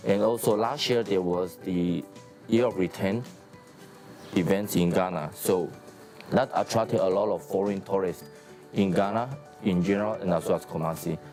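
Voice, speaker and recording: A man in his forties from Taiwan, a hotel and restaurant owner, speaking in a measured interview outdoors on a lapel mic. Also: deep voice, darkish tone, faint music behind. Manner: plain, measured business talk with pauses.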